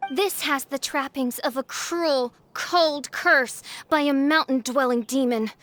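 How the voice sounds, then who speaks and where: A woman voicing a young girl in a video game who plays a dark princess, speaking slowly in a grandiose, archaic, melodramatic way. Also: high-pitched. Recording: game audio with soft music behind.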